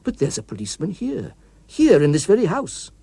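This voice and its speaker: Man playing a nervous, hesitant writer, high-pitched. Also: wildly swooping pitch, a little fast, vocal fry, dark tone, breathy edge, no room sound, full character voice. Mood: alarmed. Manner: anxious, hesitant, eager to please. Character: nervous, anxious male writer, polite and fearful. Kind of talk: dramatic audiobook character.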